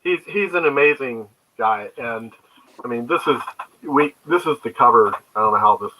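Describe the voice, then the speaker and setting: An older man talks as a guest in a relaxed interview. His voice is bright and sits in a middle pitch. He wanders through anecdotes in a conversational way. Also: moderate pace, slight background noise, low-quality recording. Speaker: guest, comics creator. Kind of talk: casual interview storytelling.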